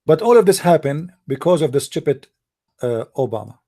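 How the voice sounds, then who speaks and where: Heated Arabic-speaking man, soft and mellow. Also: fairly high pitch, big pitch swings. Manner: halting, searching for words, many fillers; heated, blunt opinions. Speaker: commentator. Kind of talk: casual monologue.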